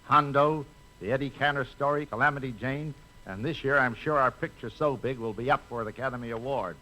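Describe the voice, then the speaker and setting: An actor playing a blunt, exasperated movie studio boss in a 1950s radio comedy. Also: male voice, medium pitch, fairly quick, sharp tone, natural voice. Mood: blunt, insistent. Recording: noisy 1950s radio recording.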